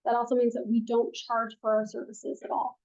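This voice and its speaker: A woman who is a financial advisor, giving a soft-spoken classroom presentation with frequent ums, recorded on a room mic. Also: a little high, little pitch movement, brisk, lo-fi sound.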